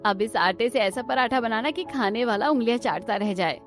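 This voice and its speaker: A woman acts a middle-aged cartoon mother-in-law. She is caring, practical and encouraging, in a somewhat high voice with soft music behind. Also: expressive, moderate pace, close to an everyday voice.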